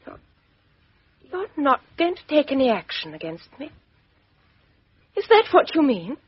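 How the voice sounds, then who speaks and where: A woman's voice: high voice, big pitch swings, a little slow, no room sound. A defiant, bitter young woman. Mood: composed. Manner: sharp, defiant, emotional. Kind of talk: 1950s radio drama acting. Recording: dull lo-fi 1950s radio recording.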